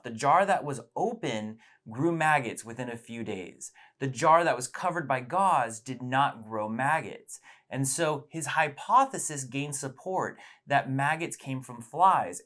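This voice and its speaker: A man's voice: mid-range voice, a bit bright. A man presenting a science lesson as storytelling, explaining things simply and with emphasis.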